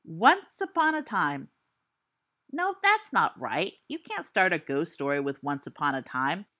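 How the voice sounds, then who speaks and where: A teenage wizard boy spinning a spooky fireside tale with theatrical flair and wry asides, read by a woman. His voice is bright and lively, swinging up and down as he builds the suspense. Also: deep voice, measured pace, heavily acted. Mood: storytelling. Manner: theatrical storytelling, dry asides.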